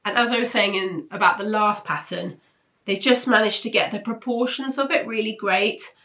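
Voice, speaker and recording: Nasal British woman, bright and chatty. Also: medium pitch, normal speed. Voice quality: nasal, congested. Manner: chatty, informal, enthusiastic. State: ill with flu, blocked nose. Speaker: host of a weekly sewing pattern roundup. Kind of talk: casual chat review to camera.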